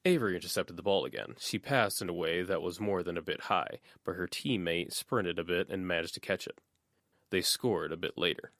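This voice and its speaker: A male audiobook narrator reading prose in a steady, even way. His voice sits very low, and the recording is dry and close, with no room sound. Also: brisk, no echo. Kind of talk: scripted narration.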